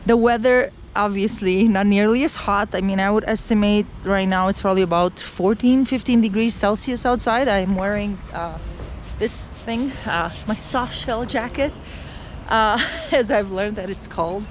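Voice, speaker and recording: A woman's voice: mid-range voice, moderate pace. A middle-aged woman from Romania vlogs casually while walking down a city street, rambling with many fillers and restarts. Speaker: travel vlogger. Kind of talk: casual walk-and-talk vlog. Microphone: handheld camera while walking. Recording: outdoor street noise and traffic.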